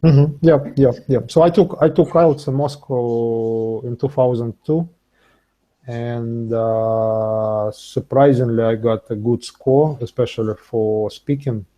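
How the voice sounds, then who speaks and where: A man speaking English as an in a casual interview, with halting, repetitive phrasing. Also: medium pitch, steady tone, lo-fi sound. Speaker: interview guest, English learner.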